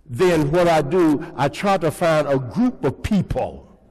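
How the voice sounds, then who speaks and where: Older pastor preaching slowly in a church. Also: male voice, a little high, animated, a little mellow. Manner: preaching with call-and-response questions to the congregation. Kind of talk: sermon. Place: large church sanctuary, indoors. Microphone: pulpit microphone. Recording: long reverb of a church hall.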